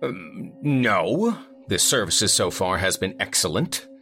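A man voicing an irate, wealthy male winery owner who blusters and booms his complaints. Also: very animated, a little slow, a bit bright, soft background music, heavily acted. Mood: indignant. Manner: angry, blustering, booming.

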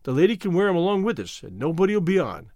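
A man voicing a calm, fair-dealing police detective in a storybook, measured and plain-spoken. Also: high voice, no echo, studio quality. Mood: calm, firm.

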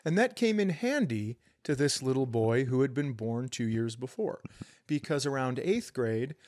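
A middle-aged man with a medium-pitched voice chats as a comedy podcast co-host. He tells stories in a casual, profane, conversational style. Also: lively intonation, normal speed, a little mellow. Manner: profane, storytelling.

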